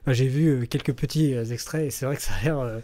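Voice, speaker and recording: A man's voice: mid-range voice, fast talker, warm tone. A man hosting a podcast interview, asking questions casually, with many fillers and restarts. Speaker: podcast host.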